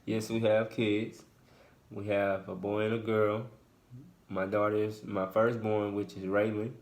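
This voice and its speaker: A man's voice: lowish voice, flat delivery, measured pace. Laid-back young man. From Houston, Texas. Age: 20s. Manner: laid-back, hesitant, many fillers. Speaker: co-host of a couple's channel. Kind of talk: casual Q&A chat.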